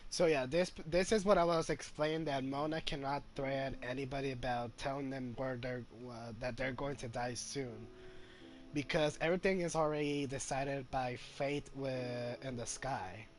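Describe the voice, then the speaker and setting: A man's voice: mid-range voice, fairly even intonation, moderate pace, a little hiss or hum. A man commentating casually on a game, explaining things with emphatic repetition. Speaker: gaming commentator.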